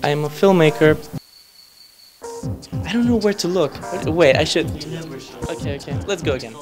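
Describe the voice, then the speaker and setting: A man's voice: fairly high pitch, animated, moderate pace, over music. A man from Greece, a filmmaker speaking hesitantly and thoughtfully in an informal interview. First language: Greek. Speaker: filmmaker interviewed at a film festival.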